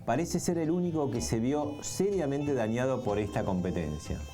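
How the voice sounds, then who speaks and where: A man's voice: mid-range voice, animated, normal speed. A man reading scripted documentary narration. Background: music.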